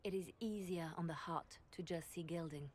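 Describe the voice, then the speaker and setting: A woman voices a shrewd, wistful court diplomat from a fantasy game. Her slightly low voice is solemn and measured, with a poetic turn. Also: a little mellow, close to an everyday voice. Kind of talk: video game voice acting.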